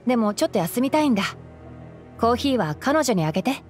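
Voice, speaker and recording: A calm young fox-eared male ranger speaks with the measured care of a principled scholar. The voice sits fairly high, in video game dialogue over faint music. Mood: gentle. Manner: measured, articulate, explanatory.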